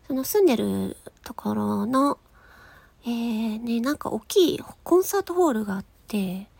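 A woman chatting casually on her own as a podcast host, in a giggly, chatty manner that trails into breathy asides. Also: on the high side, a little mellow, no room sound. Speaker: podcast host and amateur voice actress. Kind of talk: casual solo chat.

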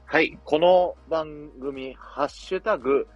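A man's voice: a little high, slow, no echo, faint music behind. A man co-hosting a live audio streaming show, chatting with his partner and making announcements.

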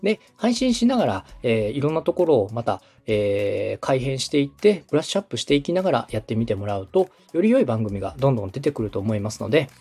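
A man's voice: mid-range voice, very animated, quick pace, hardly any pauses, mellow, slightly rough, soft background music. A man from Osaka hosting a podcast and coaching with polite, encouraging, explanatory advice. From Osaka, Japan. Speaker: podcast host, coach, radio director. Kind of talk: instructional advice in a podcast dialogue.

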